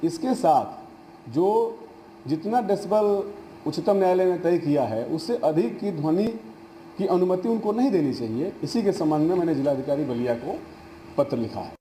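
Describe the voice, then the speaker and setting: Male politician, very dark soft tone, roomy echo, halting official statement. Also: a little high, animated. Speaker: government minister. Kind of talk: spoken statement to news media. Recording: roomy echo with faint background noise.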